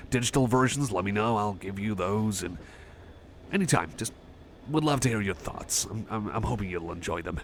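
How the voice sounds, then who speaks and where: Shy, stammering cyborg guy, low-voiced, acted by a man. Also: animated, slight background noise. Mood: shy, eager. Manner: nervous stammering, hesitant, halting, apologetic. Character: shy, anxious young male cyborg friend, apologetic. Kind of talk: ASMR roleplay, personal attention.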